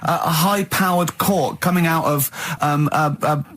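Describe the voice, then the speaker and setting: Excitable, slightly husky radio man. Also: fairly high pitch, a little slow. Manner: excitable, repetitive, gleeful. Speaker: radio show co-host. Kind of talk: casual radio banter. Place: radio studio. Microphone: studio microphone.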